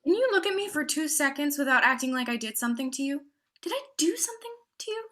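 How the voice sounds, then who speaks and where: A woman's voice: high voice, expressive, quick pace, bright, forceful tone. An actress playing a worried, devoted girlfriend in a staged play reading, coaxing and pressing her partner with questions. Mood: pleading.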